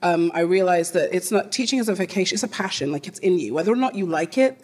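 A woman's voice: fairly low pitch, expressive, quick pace, darkish tone, slightly rough. A middle-aged woman from London with a London accent, a panel guest chatting on a theater stage into a handheld mic, laughing often. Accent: London English. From London, England. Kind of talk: live panel conversation. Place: theater stage in front of a live audience.

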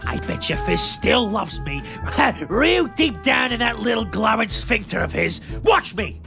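An actor playing a greedy, bullying male demon boss in a comedic cartoon dub, gravelly, ranting and sneering. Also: medium pitch, lively intonation, measured pace, over music. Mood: sneering tantrum.

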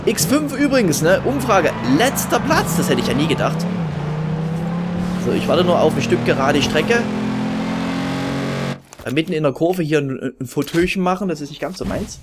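A man's voice: a little high, expressive, moderate pace, long pauses. A man with a regional German dialect doing casual, self-mocking let's-play commentary while racing in a game. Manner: chatty, self-mocking, rambling. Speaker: gaming video host. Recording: loud game music and engine noise behind.

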